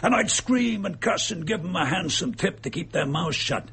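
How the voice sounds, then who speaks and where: A radio-drama murderer and impostor, cornered and bitter. His voice is a raspy, creaking husk, pitched fairly high and moving freely as he sneers. He speaks coarsely, with gloating, dark amusement. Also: lively intonation, normal speed, exaggerated voice. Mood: gloating, then bitter. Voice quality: husky, gravelly.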